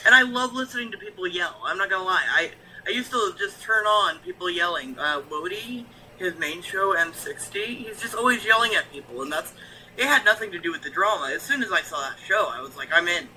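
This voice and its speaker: A man with a high, very bright and sharp voice, chatting frankly as a guest on a stream. He rambles and circles back on himself. Faint room ambience hums behind him. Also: lively intonation, fairly quick, a little hiss or hum, poor audio. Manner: rambling, frank, lots of fillers and restarts. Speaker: guest, YouTube reactor. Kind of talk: casual stream chat.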